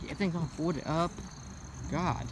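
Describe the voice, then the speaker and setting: Man vlogging outdoors with loud background noise. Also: a little high, steady tone, unhurried. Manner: repeats himself, rises loud on exclamations. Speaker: urban explorer vlogger. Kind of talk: casual walking vlog narration. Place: outdoors at an empty building and parking lot.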